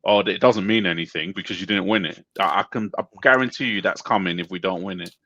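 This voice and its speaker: A man co-hosting a football podcast, measured and reasoning through his points. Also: low voice, pushed and bright, lo-fi sound. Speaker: podcast co-host. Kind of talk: casual chat.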